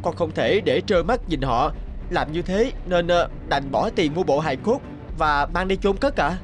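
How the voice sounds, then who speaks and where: A man's voice: on the high side, lively intonation, moderate pace, soft background music, lightly acted. A man voicing a kind, timid and dutiful young merchant's son in a cartoon, earnest and polite. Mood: sheepish. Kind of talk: cartoon fairy-tale voice acting.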